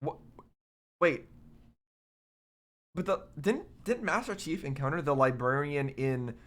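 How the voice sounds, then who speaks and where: A man doing casual, rambling reaction commentary on a game, halting and puzzled as he thinks aloud, his voice a little off as he recovers from illness. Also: a little high, expressive. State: recovering from covid, voice slightly affected. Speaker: host of a video game reaction show.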